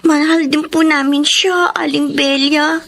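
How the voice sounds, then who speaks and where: A woman's voice: high-pitched, steady tone, a little slow, fairly bright tone, slight background noise. A woman playing a caring, outspoken young sister with a plaintive, girlish manner in a radio drama. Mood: respectful. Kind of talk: Filipino radio drama acting.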